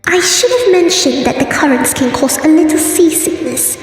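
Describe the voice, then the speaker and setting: Woman as a high, chirpy sea-pony princess. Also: animated, moderate pace. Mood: cheerful, hostly. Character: perky young sea-pony princess, evasively cheerful tour guide. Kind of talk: cartoon comic dub. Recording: echoey hall, distant, music behind.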